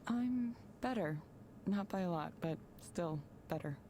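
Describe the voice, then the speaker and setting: Withdrawn woman, lowish voice, hesitant, understated, video game dialogue, noisy ambience behind. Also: lively intonation, a little slow, mellow. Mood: downcast. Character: subdued, hesitant woman, withdrawn former tech worker, guarded. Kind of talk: visual novel game voice acting.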